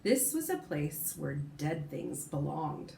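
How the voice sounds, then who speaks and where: Teacher lady reading a chapter book out loud, kinda low voice, stops to comment, echoey room. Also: slow, creaky, a little hiss or hum. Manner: expressive, stops to comment and correct herself. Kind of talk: reading a novel aloud to a class.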